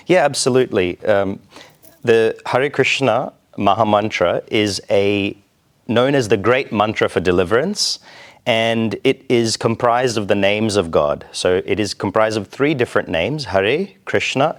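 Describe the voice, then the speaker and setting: Very low-voiced, measured man from Australia. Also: expressive. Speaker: temple president, interview guest. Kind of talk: explanatory interview answers. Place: TV studio.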